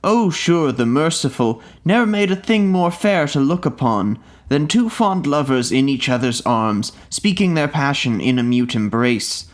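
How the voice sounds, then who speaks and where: A man's voice: mid-range voice, lively intonation, unhurried, hardly any pauses, close to an everyday voice. A man doing a humpbacked ifrit declaiming verse. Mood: solemn. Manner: solemn and deferential, declaiming verse. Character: hideous humpbacked horned male ifrit, servile judge. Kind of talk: storybook reading of an old tale.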